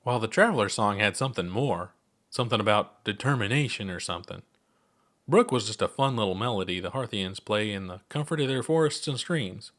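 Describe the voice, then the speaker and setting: A man's voice: on the low side, lively intonation, brisk, a bit bright. A man narrating his own scripted story as an audiobook-style reading. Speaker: author and narrator.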